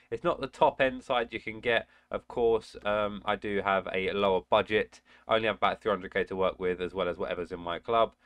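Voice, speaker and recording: Casting: young man, nasal from a cold, lowish voice, gaming commentary with sudden excited shouts. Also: smooth, no echo. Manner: excitable outbursts during play, muttering between. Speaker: gaming channel host. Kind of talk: casual gameplay commentary. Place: indoor room at home.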